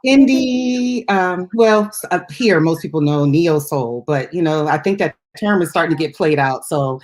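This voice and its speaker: A woman's voice: low voice, animated, moderate pace, darkish tone. A woman co-hosting a casual music chat show as a DJ, talking in an informal, chatty and giggly way. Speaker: radio DJ and co-host.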